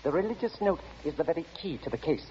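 Man playing an animated, insistent elderly gentleman witness. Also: on the high side, fast, lightly acted. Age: older. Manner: precise, emphatic, theatrical. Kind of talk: 1940s radio acting. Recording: dull, noisy 1940 radio recording.

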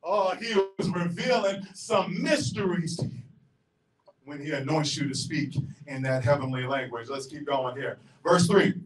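A middle-aged man with a Southern US accent preaching a sermon as pastor, pacing the stage and swinging between emphatic shouts and quiet asides. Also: on the high side, measured pace. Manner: paces and gestures across the stage while preaching. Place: large church auditorium. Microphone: handheld mic, filmed from far back. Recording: echoey hall from far off.

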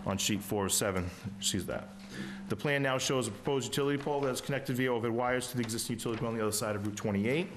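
Halting engineer. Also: male voice, medium pitch, slight background noise. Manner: halting, many uhs and ums, reads plan details. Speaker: civil engineer presenting to a planning board. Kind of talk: formal presentation at a town meeting. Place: town meeting room. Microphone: table microphone.

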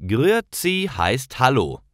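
A man plays a welcoming young man who likes to explain things in a learners' storybook. He speaks helpfully and slowly, with animated intonation. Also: medium pitch, no room sound, studio quality, pitched above the performer's own voice. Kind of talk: acted storybook dialogue for language learners.

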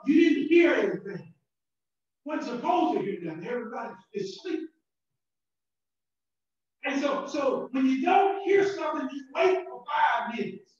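Southern pastor preaching slow and loud, high voice, far off mic in a big echoey church, kinda muffled. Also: male voice. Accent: Southern American. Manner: preaching with rising shouted emphasis and repetitions. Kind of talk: sermon. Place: church sanctuary.

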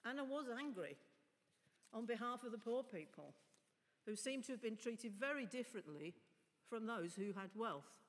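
A middle-aged woman preaching a chatty, informal sermon from a lectern, her voice husky from a cough. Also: a little high, animated, moderate pace. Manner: chatty, informal asides to the congregation. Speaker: lay preacher. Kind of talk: sermon with prayer. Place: large stone church, indoors. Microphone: lectern mic. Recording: long church reverb.

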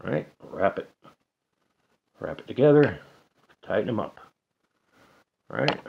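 A man's voice: on the low side, very animated, slow, creaky, muffled recording, slight background noise. A man casually explaining an antenna build at home while he works, chatting to himself and the radio as he goes. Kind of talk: casual how-to narration. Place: home, indoors at a table.

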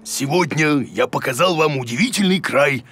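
A man's voice: on the high side, expressive, normal speed, over loud music, natural voice. A man voicing a grumpy, bumbling cartoon creature who plays a clueless teacher in a blustery, muttering way. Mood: self-important. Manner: blustery, hesitant muttering and exclamations. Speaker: main character of a children's educational cartoon. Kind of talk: animated cartoon acting.

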